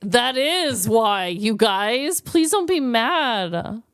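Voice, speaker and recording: Woman, higher-pitched, crisp voice, expressive, candid, casual podcast storytelling, dry recording. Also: drawn-out words, no echo. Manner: expressive, candid, swears freely. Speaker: podcast co-host.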